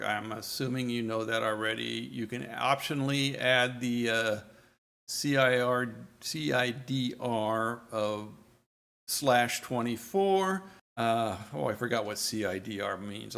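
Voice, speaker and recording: An older man narrates a home tech walkthrough in a relaxed, conversational way. His voice has a gravelly texture, and he often pauses to correct himself or fill gaps with "uh.". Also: medium pitch. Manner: rambling, self-correcting, many uh fillers. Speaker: tech tutorial host. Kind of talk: casual screen-recorded walkthrough.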